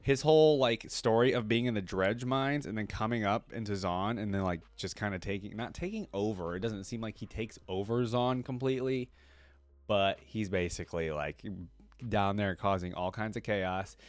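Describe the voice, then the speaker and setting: Deep, clear-voiced man giving casual commentary. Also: normal speed, no echo. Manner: conversational, rambling, filler-heavy with "like". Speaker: reaction video host.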